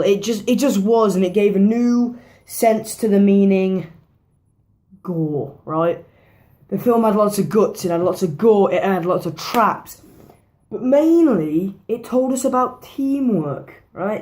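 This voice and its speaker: A woman talks through a horror film in a loose, chatty review, her voice hoarse and worn down. She rambles, restarts her sentences and drops swear words without a second thought. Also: medium pitch, unhurried, mellow. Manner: rambling, swears freely, repeats herself. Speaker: movie reviewer. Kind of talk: casual movie review vlog.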